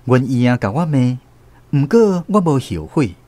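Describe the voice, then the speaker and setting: A male actor with a Taiwanese accent playing a grown man who recounts his boyhood in an animated radio drama narration. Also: mid-range voice, normal speed, no room sound, natural voice. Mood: wry recounting. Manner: animated first-person storytelling. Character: grown man fondly recounting his small-boy adventures. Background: plucked guitar music.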